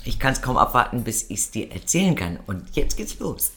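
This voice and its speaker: A woman chatting casually as a podcast co-host, telling a story in a lively, self-mocking way, her nose stuffed up from a cold. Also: rumbling low, normal speed, music in the background. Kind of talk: casual chat.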